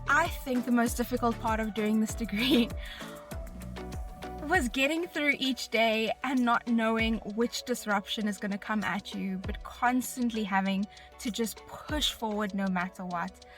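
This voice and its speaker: A woman's voice: a little high, unhurried. Young South African woman graduate, music behind. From Bloemfontein, South Africa. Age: 20s. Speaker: recent engineering graduate. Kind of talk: personal testimonial. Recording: voice over background music.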